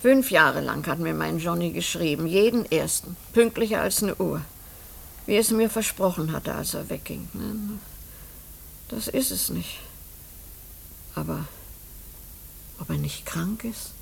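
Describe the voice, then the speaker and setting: A woman's voice: fairly low pitch, a little slow, creaky, pauses often. An actress playing a lonely, anxious middle-aged widowed mother in a German radio play, speaking in a hesitant, homely way. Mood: worried, wistful. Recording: noisy old radio drama recording, clicks.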